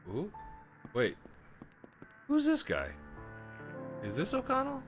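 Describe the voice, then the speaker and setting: A man streaming a video game live, chatting in a rambling way and clearing his throat often. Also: high voice, huge pitch swings, slow pace. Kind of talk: live let's play commentary. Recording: game music clearly audible underneath. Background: game music.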